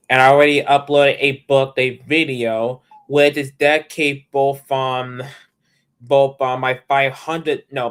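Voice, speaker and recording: Young male streamer, mid pitch, smooth tone, loose rambling delivery. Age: young adult. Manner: rambling, restarts sentences often. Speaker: livestreamer. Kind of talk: casual livestream chat.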